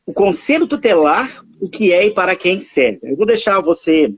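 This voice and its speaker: Lively high-voiced husky Mineiro man. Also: normal speed, no echo. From Minas Gerais, Brazil. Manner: warm, chatty, animated, frequent tag questions. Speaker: host of a live stream. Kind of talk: casual live-stream chat.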